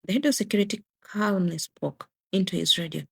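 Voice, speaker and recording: Female narrator for crime audio drama: husky, gravelly edge, scripted dramatic storytelling. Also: medium pitch, brisk, a bit bright.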